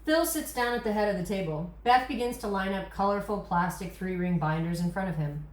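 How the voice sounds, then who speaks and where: A woman reads stage directions as the narrator of a script read. Her pitch is medium, and the room adds clear echo. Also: brisk. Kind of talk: reading screenplay action lines. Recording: echoey room recording.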